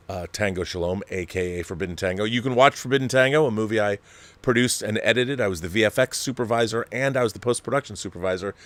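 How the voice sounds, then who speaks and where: A man's voice: lowish voice, a bit bright, dry recording. An American man in his fifties hosting a casual, rambling livestream chat, speaking emphatically and insistently and often repeating phrases. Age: 50s. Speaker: online show host and film producer.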